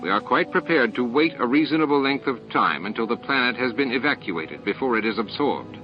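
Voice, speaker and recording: A man voicing a calm, formal, self-assured alien leader in an audiobook, condescending and baffled by others. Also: medium pitch, brisk, dull audio, soft background music, voice pushed up, natural voice. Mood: matter-of-fact.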